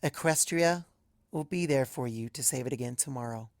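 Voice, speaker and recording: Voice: medium pitch, normal speed, dry recording, studio quality, natural voice. A narrator voicing a playful, affectionate young female pegasus pony in a story, teasing and reassuring. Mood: tender, reassuring.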